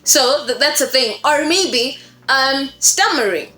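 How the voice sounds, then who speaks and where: Woman teaching a class, kinda high bright voice, expressive, roomy echo w faint music. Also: takes it slowly. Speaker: voice acting instructor. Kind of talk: explanatory lesson on creating character voices.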